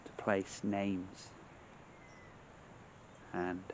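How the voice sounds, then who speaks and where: A man guides a meditation in a fairly low voice. He goes very slowly, setting out short, measured phrases with long pauses between them. Faint outdoor nature sounds sit behind him. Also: monotone, darkish tone, a little hiss or hum. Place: outdoors among trees.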